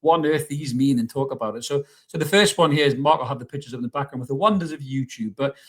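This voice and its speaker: Fast-talking, husky man chatting about football. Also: medium pitch. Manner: chatty, rambling, rhetorical questions, blunt swearing. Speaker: YouTube football channel host. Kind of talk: casual opinionated fan commentary to camera.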